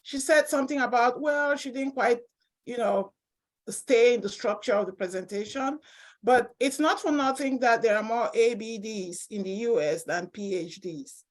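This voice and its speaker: A woman's voice: on the high side, normal speed. Cameroonian-accented woman giving an academic talk. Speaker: associate professor, seminar speaker. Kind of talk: academic presentation, personal and informal.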